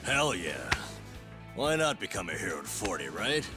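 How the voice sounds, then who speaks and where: Easygoing teasing buddy, low voice that jumps all over, slow drawl, music under it. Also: male voice, very animated. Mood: encouraging. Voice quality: easygoing, teasing, laughs easily. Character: laid-back, teasing middle-aged man, a loyal buddy. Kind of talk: video game cutscene dialogue. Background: game music.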